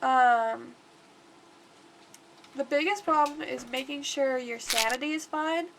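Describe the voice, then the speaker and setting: A woman's voice: high voice, takes it slowly, creaky, background noise. A congested woman livestreaming, chatting casually and rambling through gameplay commentary. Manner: chatty and rambling, talks to the game aloud.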